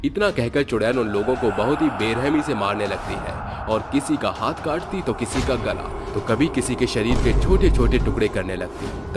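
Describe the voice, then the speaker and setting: एक आदमी कार्टून भूत-कहानी का लिखा हुआ वर्णन सुना रहा है।. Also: male voice, lowish voice. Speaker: story narrator. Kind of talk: scripted Hindi cartoon horror story narration. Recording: faint music underneath.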